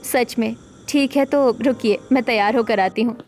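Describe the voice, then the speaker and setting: A woman's voice: fairly high pitch, normal speed, a little mellow, faint music behind, lightly acted. Woman as a young married elder sister, homely. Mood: pleased.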